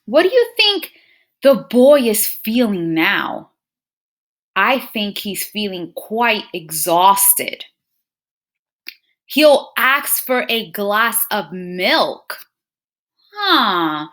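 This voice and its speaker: Expressive, slow-paced woman reading to children. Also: medium pitch. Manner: expressive, addresses children directly with frequent questions and musing hums. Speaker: teacher reading a picture book aloud to young pupils. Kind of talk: children's read-aloud with questions to the listeners.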